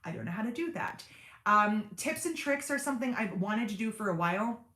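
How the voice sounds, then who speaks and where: A woman chatting on a sewing livestream, rambling happily through viewer comments with plenty of fillers and wry self-deprecating asides. Her everyday voice sits fairly deep. The sound has a noticeable room echo, as from a home studio. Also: lively intonation, fairly quick, sharp tone. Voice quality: deep speaking voice in everyday talk. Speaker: sewing channel host on a livestream. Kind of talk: casual livestream chat reading viewer comments.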